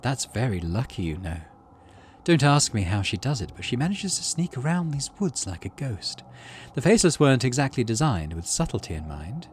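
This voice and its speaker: A man voicing a calm, polite alien forest dweller in a video game, with measured, formal, gently wry phrasing. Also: medium pitch, slight background noise, lightly acted. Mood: gently amused.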